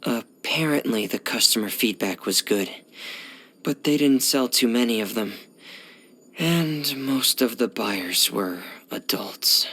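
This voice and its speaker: A man playing a shy, gloomy teenage boy diver in video game voice lines, speaking softly and hesitantly in a hushed, breathy voice. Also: medium pitch, lively intonation, takes it slowly, full character voice. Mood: quiet, wistful. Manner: hesitant, reserved, subdued.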